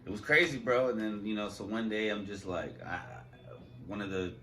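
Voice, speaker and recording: A man co-hosting a casual podcast, telling rough stories in a relaxed, swearing way. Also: fairly low pitch, moderate pace, soft background music. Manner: laid-back, swearing storyteller. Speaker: podcast co-host. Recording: echoey room, mic at a distance.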